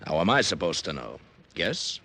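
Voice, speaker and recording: Deep-voiced American racket boss. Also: male voice, sing-song, normal speed, sharp tone, full character voice. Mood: indignant. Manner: blunt, testy. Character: tough male racketeer boss, defensive and impatient. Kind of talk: 1940s radio crime acting.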